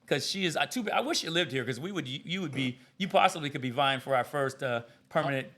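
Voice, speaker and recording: A man co-hosting a podcast in casual chat, animated and joking as he tells stories. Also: on the high side, fast. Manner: animated storyteller, joking and laughing. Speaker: podcast co-host.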